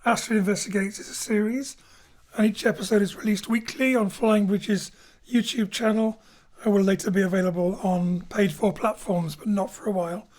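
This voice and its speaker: A woman's voice: medium pitch, fairly even intonation, normal speed, darkish tone. A woman hosting a remote chat show, teasing and upbeat as she questions guests. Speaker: host.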